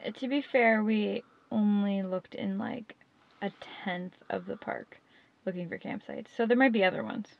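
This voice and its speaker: A woman's voice: medium pitch, expressive, moderate pace, vocal fry. A young woman chatting casually as a vlogger from behind the camera in a van. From Canada. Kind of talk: casual chat. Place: inside a small camper van. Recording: dull sound with background noise.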